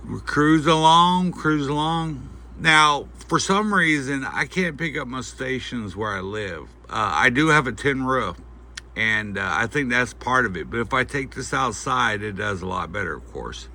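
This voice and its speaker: A man giving a casual, unscripted product demonstration, talking in a halting, folksy way as he thinks aloud. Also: mid-range voice, expressive, bright, forceful tone. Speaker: host of a gear review channel. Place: indoors at home at night. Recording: faint background noise.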